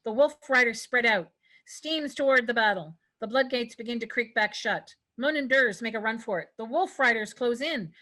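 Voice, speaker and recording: A woman with a fairly high voice reads stage directions for a table read. It is scripted narration, with the occasional stumble over a word. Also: moderate pace, sharp tone.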